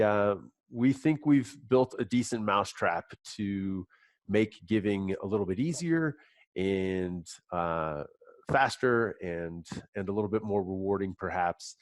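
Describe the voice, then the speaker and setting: A low-pitched man with a cold, his voice stuffed up. He talks haltingly, full of fillers and restarts. The sound is dry and close. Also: no room sound. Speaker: app company guest. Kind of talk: webinar presentation.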